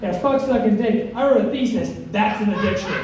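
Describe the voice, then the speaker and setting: A man's voice: high voice, a little fast. Crude rambling man in his thirties. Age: 30s. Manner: crude self-deprecating rambling jokes. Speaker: stand-up comic. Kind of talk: stand-up set. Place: comedy club stage. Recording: echoey club from far off, noisy.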